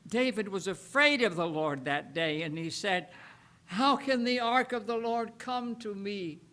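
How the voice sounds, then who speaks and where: A man with a high, bright and forceful voice reads from the Bible aloud. His delivery is slow and solemn, with emphatic phrasing broken by frequent pauses. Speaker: Bible reader. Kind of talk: solemn scripture reading aloud.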